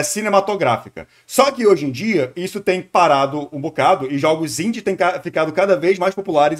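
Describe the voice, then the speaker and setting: Brazilian man with a higher-pitched voice, casually opinionated. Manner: opinionated, explanatory, informal slang. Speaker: streamer reacting to a video. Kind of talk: casual live commentary, answering chat.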